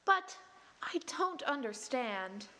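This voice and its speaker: A woman playing a flustered, excitable woman suspect in a radio play, breathless and bewildered. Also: high voice, takes it slowly, heavily acted. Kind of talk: 1940s radio acting.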